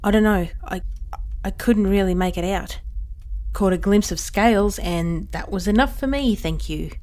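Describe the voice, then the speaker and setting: A woman playing a desperate trapped shop worker in an audio horror drama, high-strung, snippy and pleading. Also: normal speed, darkish tone, natural voice. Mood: frightened, hurried.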